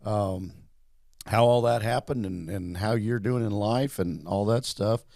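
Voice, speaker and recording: Male interview host, low gravelly voice, meandering friendly delivery. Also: unhurried, darkish tone, no echo, studio quality. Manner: rambling, repeats words, friendly. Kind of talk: casual interview.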